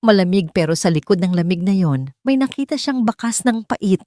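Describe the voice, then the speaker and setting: A woman's voice: medium pitch, animated, normal speed, warm tone, dry recording, clean studio sound. A woman with a Filipino accent reading a scripted dramatic story as its narrator.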